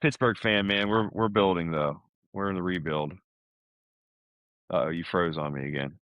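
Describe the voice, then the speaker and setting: An American man in middle age talks casually on a podcast. His voice sits on the low side and the sound is dry, with little room in it. He speaks informally, like a buddy catching up. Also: normal speed, no echo, highs cut off. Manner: informal, buddy-like, peppers talk with "man" and "dude".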